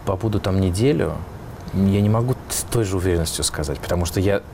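A man's voice: low-pitched, quick pace, warm tone, slight background noise. A man, a musician being interviewed, answering in a reflective way as he searches for words.

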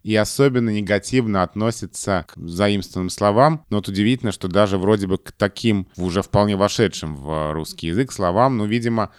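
Male host, low resonant voice, dry close mic, deliberate questions. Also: clean tone, no room sound. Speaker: interviewer. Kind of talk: conversational interview questions.

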